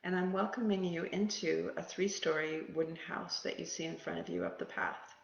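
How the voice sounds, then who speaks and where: Meditation guide, gravelly fry, mid pitch, gentle pausing delivery, slightly dull roomy recording.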